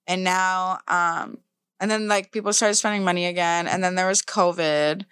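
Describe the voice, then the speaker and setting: A woman's voice: lowish voice, steady tone, normal speed, fairly bright tone, smooth. A woman in her thirties, chatting casually and profanely, full of "like" fillers. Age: 30s. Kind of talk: casual chat.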